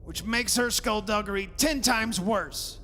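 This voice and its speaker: Man acting a stubborn blustering father, bright hard voice. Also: high-pitched, a little slow. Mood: accusing. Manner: insistent, argumentative. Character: stubborn sceptical father, proud and blustering, rationalising away terror. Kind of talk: old-time radio horror drama acting. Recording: hissy recording in a reverberant hall.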